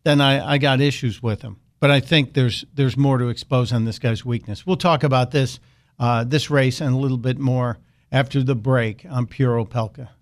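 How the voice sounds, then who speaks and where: A man's voice: medium pitch, steady tone, moderate pace, a little hiss or hum. A man hosting a talk radio show, delivering an opinionated monologue with sarcastic, mocking asides.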